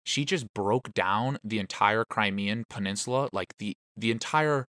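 Deadpan man on a podcast. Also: lowish voice, unhurried, dry recording. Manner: deadpan, dry joking. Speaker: podcast co-host. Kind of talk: casual comedic chat.

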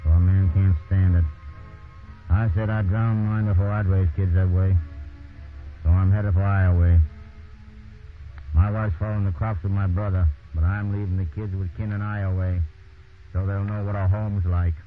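A man's voice: sits low, flat delivery, moderate pace, long pauses, faint music behind, close to an everyday voice. An actor playing a weary, bitter displaced farmer, telling his tale in plain folksy phrases in a 1930s radio drama. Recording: muffled old 1930s radio recording.

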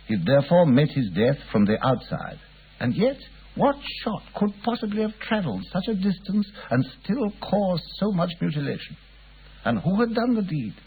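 Husky voice, lively pitch, a courteous doctor narrating a mystery, tinny vintage radio sound. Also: male voice, exaggerated voice. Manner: courteous, earnest, musing. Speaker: narrator of the story. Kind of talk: 1940s radio acting. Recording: tinny old radio broadcast.

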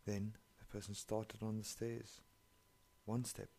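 Man, English, sarcastic swearing story reader, deep and gravelly. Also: monotone, slow pace, warm tone. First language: not English. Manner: sarcastic, profane running commentary. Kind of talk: reading online stories aloud with commentary.

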